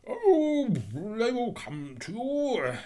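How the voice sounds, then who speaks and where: A man's voice: very high voice, wildly swooping pitch, drawn-out words, darkish tone, voice pushed up, heavily acted. A man voicing a pompous, self-important miller in a storybook tale, preachy and emphatic as he poses as a generous friend. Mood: condescending. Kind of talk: theatrical storybook character voice.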